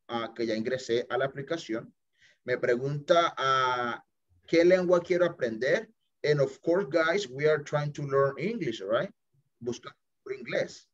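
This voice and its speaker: A man teaching an English class over a video call, walking students through an app and checking in with them often, mixing in English phrases. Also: mid-range voice. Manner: chatty, checks in with "listo?" and "ok?", mixes English phrases. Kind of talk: screen-share app walkthrough for students. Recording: dull lo-fi video call audio.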